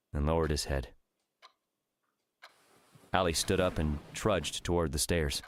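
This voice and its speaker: Male storyteller for fiction audiobook, deep register, scripted dramatic narration.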